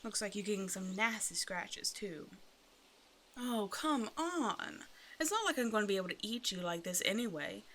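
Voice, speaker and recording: A woman performs a predatory female naga, half snake, in an ASMR audio roleplay. Her medium-pitched voice toys with the listener, smug and condescending under a sugary, teasing surface. Noticeable ambient noise sits behind her. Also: fairly bright tone. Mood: mock-sweet menace. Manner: teasing, condescending, mock-sweet pet names.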